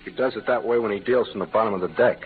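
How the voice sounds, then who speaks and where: A man acting a steady, laconic cowboy hero in a 1940s radio drama. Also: fairly low pitch, racing, natural voice. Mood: firm. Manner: laconic, matter-of-fact. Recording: old noisy radio recording, phone-like band.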